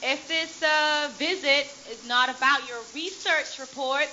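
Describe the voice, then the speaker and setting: A woman playing Maddie, an eight-year-old girl entrepreneur in an audio drama, speaking in a brisk, bossy, playful way. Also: high voice, fairly even intonation, sharp tone, lo-fi sound, slight background noise. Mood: commanding. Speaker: lead character.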